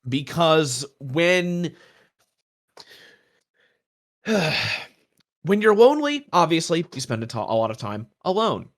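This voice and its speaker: Young man, slightly high voice, very unhurried, emphatic, casual podcast conversation. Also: very animated. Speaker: podcast co-host. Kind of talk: casual personal discussion.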